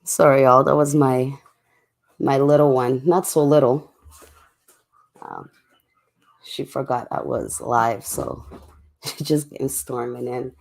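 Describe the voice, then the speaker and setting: A livestream host chatting casually and in a laid-back way to viewers late at night, with a voice going hoarse from a cough. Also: medium pitch, lively intonation, slow pace, pauses often. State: sick with a cough, voice getting hoarse.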